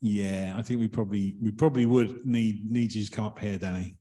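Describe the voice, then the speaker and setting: Guy running a members meeting, kinda low and mellow, lots of ums. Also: fairly even intonation, a little fast. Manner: hesitant, lots of ums, polite. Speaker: meeting chair. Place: meeting hall.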